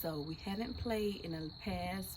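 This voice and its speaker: A woman in her forties chatting casually and laughing loudly with an old friend for her video. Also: medium pitch, normal speed, a little hiss or hum. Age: 40s. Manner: loud laughing, expressive, slangy. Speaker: vlog host.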